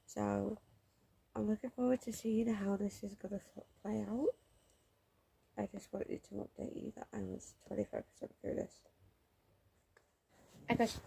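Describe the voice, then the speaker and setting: A young woman with a cold, chatting excitably about her reading in a casual vlog at home. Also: medium pitch, vocal fry, slightly rough. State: has a cold, snuffly. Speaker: book vlogger. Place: home room under a window, lamp lit.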